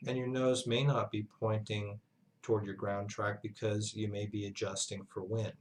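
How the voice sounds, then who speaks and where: A man giving an instructional lesson as a flight instructor, speaking in a measured, halting way with pauses mid-sentence. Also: fairly low pitch, little pitch movement, a little hiss or hum. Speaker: flight instructor, university lecturer. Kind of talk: instructional narration, explanatory lesson.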